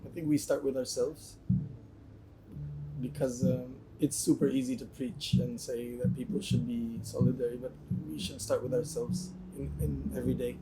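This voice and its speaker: A man's voice: medium pitch, level tone, moderate pace, a loud crowd, rough recording. A man from a band answering interview questions thoughtfully, with frequent restarts. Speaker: band member.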